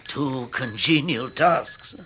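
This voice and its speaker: A man's voice: mid-range voice, pushed and bright, a little hiss or hum. An actor playing a genial, faltering older father in a period radio drama, speaking hesitantly. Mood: genial, halting. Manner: hesitant, halting.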